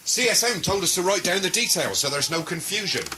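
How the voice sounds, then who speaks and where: Casting: plain-speaking army clerk type, high voice, noisy radio play. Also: male voice, lively intonation, brisk, pushed and bright. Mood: brisk, informative. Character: matter-of-fact British soldier relaying army orders. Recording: noisy radio drama recording.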